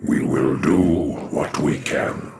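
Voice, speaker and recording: An ancient exiled alien warrior-mystic in a video game, with an extremely deep voice. He speaks slowly and solemnly, with grave loyalty, in an echoing space over noisy ambience. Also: darkish tone, exaggerated voice. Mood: grave resolve. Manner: slow, solemn, formal speech.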